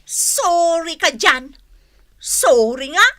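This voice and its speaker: An actress playing a quarrelsome, sharp-tongued wife in a comic radio drama, with a Filipino accent and an indignant, scolding manner. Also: female voice, very high voice, lively intonation, drawn-out words, full character voice. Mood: sullen, scornful. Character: quarrelsome, sharp-tongued neighbourhood wife, quick to take offence.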